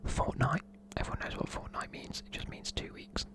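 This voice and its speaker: A person with a British accent whispering informal, chatty, matey explanations in an ASMR style. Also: moderate pace. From United Kingdom. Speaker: presenter explaining slang.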